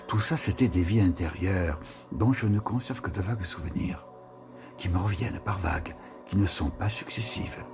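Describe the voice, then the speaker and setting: Slow gravelly man reading over music. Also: sits low. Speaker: reader of a literary text.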